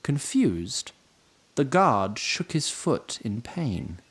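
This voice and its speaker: A man's voice: mid-range voice, very animated, drawn-out words, husky, heavily acted. A man narrating a scripted fantasy audio drama in storybook style.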